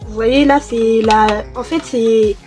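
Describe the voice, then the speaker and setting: Young woman, slow halting chat, slightly high voice, faint music behind. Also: fairly even intonation, darkish tone. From born in Cameroon, raised in France. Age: young adult. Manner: hesitant, many fillers and restarts. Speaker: YouTuber. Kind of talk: casual vlog chat. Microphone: desk mic.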